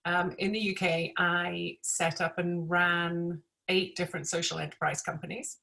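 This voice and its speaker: A British woman speaks as an interview guest in a slightly low voice. She explains things in a measured, conversational way. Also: level tone, creaky, bright, forceful tone, poor audio. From United Kingdom. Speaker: interview guest, executive director.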